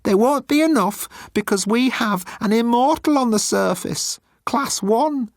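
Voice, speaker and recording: Squeaky, anxious young male alien. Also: animated, a little slow, no echo, clean studio sound, full character voice. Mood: nervous, earnest. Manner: pleading, flustered. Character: timid young male alien officer, anxious, pleading son. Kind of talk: audiobook character acting.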